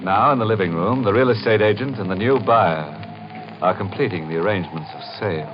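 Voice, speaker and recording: An actor playing a ghoulish, mock-solemn host of a 1950s radio horror show. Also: male voice, fairly low pitch, a little fast, highs cut off, close to an everyday voice. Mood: ominous narration. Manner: macabre, mock-solemn, theatrical. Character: ghoulish, punning male host of a creaking-door horror show. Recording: band-limited old radio broadcast, music behind.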